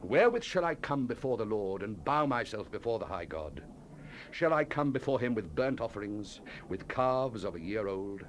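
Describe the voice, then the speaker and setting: An actor playing a solemn biblical prophet in a dramatized scripture recording, declaiming judgment and lament. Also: male voice, lowish voice, very animated, normal speed. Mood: solemn, pleading. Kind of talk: dramatized scripture reading, 1970s audio drama. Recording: hissy 1970s cassette tape.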